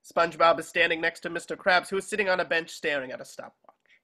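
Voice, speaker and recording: Bright-voiced narrator reading stage directions. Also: medium pitch, fast talker, a little hiss or hum. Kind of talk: scripted narration at table reading.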